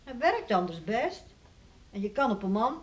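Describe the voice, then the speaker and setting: An actress playing a sober, worrying farmer's wife and mother in a radio drama, measured and mildly reproving. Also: medium pitch, big pitch swings, slight background noise, natural voice. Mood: defensive. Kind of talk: Dutch radio drama acting.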